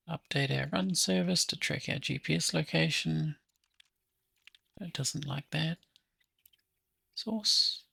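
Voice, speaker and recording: Gravelly man thinking aloud while coding. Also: fairly high pitch, pushed and bright, no echo. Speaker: app developer hosting a coding session. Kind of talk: casual think-aloud screen-recording tutorial.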